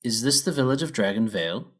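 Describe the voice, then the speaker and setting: Storybook unicorn princess, voiced by a male reader, haughty, prim, formal diction, slightly raised pitch. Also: expressive, fast, slightly rough.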